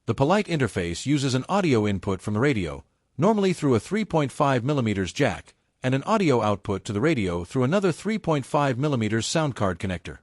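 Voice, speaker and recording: A man reading a scripted technical tutorial step by step in a measured, methodical way. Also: medium pitch, very animated, no echo, clean studio sound. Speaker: tutorial presenter.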